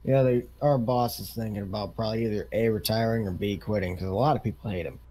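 A man's voice: on the low side, fairly even intonation, quick pace, mellow, lightly acted. A man playing an easygoing farm equipment dealership salesman in casual, rambling improvised game role-play. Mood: casual, businesslike.

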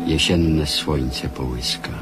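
A man's voice: rumbling low, steady tone, slow pace. A man playing the solemn narrator of a historical radio drama. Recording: music behind the voice.

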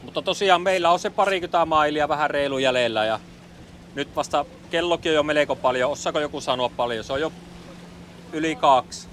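A man's voice: fairly high pitch, a bit bright. A middle-aged man from Finland casually narrating his sailing trip to an action camera, thinking aloud with plenty of fillers. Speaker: sailing vlogger. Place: outdoors on a sailboat cockpit under a canopy. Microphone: action camera mounted on the boat. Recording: wind and water noise behind.

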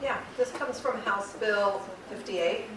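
A woman's voice: mid-range voice, takes it slowly, a bit bright. A woman explaining an item as a presenter at a meeting. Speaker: school district presenter. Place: indoor public board meeting room. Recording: very echoey room, distant, noisy.

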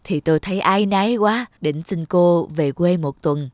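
A woman's voice: lowish voice, moderate pace, a little mellow, dry recording, studio quality, natural voice. A woman as a hesitant, humble elderly housekeeper. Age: older. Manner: hesitant, apologetic. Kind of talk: cartoon dubbing.

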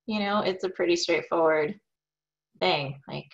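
A woman's voice: lowish voice, unhurried, vocal fry. A woman in her forties, a small business owner, answering interview questions over a video call from her home office in an upbeat, thoughtful way with some fillers. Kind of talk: conversational Q&A answers. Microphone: video call, webcam. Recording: dull lo-fi video call audio.

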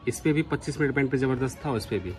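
A man's voice: mid-range voice, expressive, very fast, a little mellow, faint music behind. A man giving a casual live demonstration as a vlogger. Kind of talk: casual live demo commentary.

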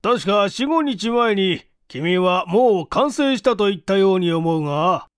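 A man voicing a weighty, insistent company president in a storybook reading, formal, pressing and deliberate. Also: sits high, expressive, clean tone, dry recording, studio quality. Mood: probing.

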